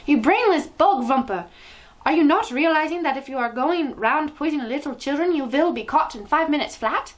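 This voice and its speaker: A woman's voice: sits high, lively intonation, normal speed, voice pushed up. A woman voicing a tyrannical, menacing witch leader from a children's storybook, screeching imperiously in oddly inverted grammar. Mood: furious. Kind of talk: children's storybook character reading.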